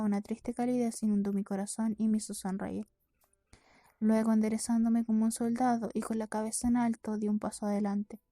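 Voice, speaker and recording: A woman narrates a novel in the first person, reading from the text. Her voice is fairly high and very mellow, with little melodic movement. She now and then trips on a word and corrects herself as she reads. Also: dry recording, studio quality. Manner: reads with occasional stumbles and self-corrections. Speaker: audiobook reader.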